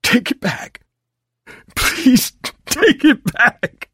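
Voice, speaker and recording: Man acting a high, terrified, pleading victim. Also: sing-song, drawn-out words, sharp tone, slightly rough, dry recording, studio quality, exaggerated voice. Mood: tearful pleading. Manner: begging, panicked, high-strained. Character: terrified heavy-set man, pleading and weak. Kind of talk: audiobook horror character voice.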